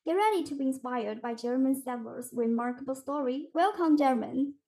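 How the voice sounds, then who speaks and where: A woman's voice: high-pitched, normal speed, warm tone. A woman hosting a podcast, reading scripted introductions and questions in a formal, presentational way. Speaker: podcast host.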